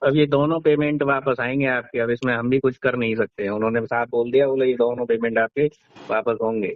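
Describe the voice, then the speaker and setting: A man's voice: mid-range voice, steady tone, brisk, no room sound. A man with a North Indian accent working as a helpline agent, explaining things informally and matter-of-factly on a phone call. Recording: muffled, dull phone call recording.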